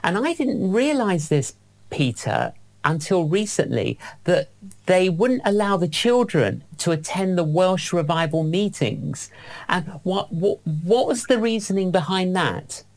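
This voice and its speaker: A man hosting a faith radio interview, asking questions in a measured, encouraging way. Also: sits high, sing-song. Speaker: radio host.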